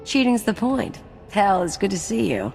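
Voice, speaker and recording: An actress playing a brash, wry rogue and card cheat, talking in a casual, cocky way in a video game. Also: female voice, mid-range voice, very animated, normal speed, creaky, a little mellow, in a large hall, faint music behind. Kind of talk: video game drama acting.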